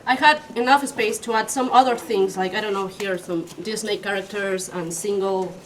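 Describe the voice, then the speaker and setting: A woman's voice: mid-range voice, steady tone. A young woman from Argentina, speaking non-natively, introducing an art exhibition with hesitant, filler-heavy speech. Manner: hesitant, many fillers, slow. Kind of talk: casual talk at an exhibition. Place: exhibition hall with people around. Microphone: far, room mic. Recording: distant voice, noisy hall ambience.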